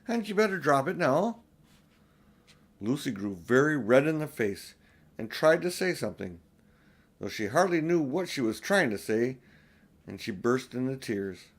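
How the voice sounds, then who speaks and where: A man's voice: mid-range voice, animated, moderate pace. An older man narrating a children's storybook expressively as an audiobook reader.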